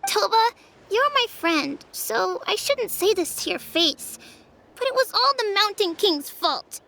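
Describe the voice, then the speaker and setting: A woman's voice: extremely high pitch, expressive, unhurried, gravelly, bright, forceful tone, a little hiss or hum, exaggerated voice, low-quality recording. A sulky young boy. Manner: whiny, sulky. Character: sulky young boy upset about family. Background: game music.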